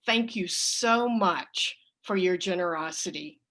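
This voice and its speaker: A woman speaking as a church leader, giving announcements and an offering appeal to her congregation in an appreciative, deliberate way. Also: on the low side, a bit bright.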